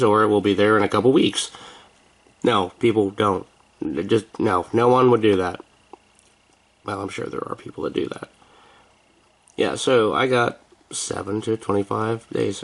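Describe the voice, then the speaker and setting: A man's voice: fairly low pitch, fairly even intonation, normal speed, creaky, long pauses. An American man vlogging in a rambling, casual chat, with a wry, joking, sarcastic manner. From United States.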